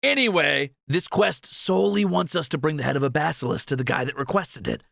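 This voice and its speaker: A man's voice: on the high side, brisk, creaky, a bit bright, no room sound, studio quality. A man playing a greedy, cheeky young thief in a fantasy audio roleplay, teasing and flippant.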